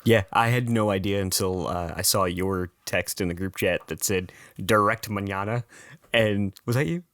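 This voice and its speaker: Raspy, low-voiced man, dry sound. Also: normal speed, a bit bright, dry recording. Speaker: podcast co-host. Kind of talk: casual chat.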